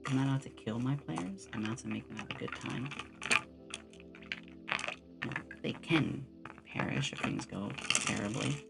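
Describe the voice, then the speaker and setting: Creaky-voiced streamer chatting over loud music. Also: mid-range voice, unhurried, long pauses, rough recording. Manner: rambling storyteller, acts out short quotes. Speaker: streamer, VTuber host. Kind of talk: casual chat with stream viewers; relaxed ASMR stream.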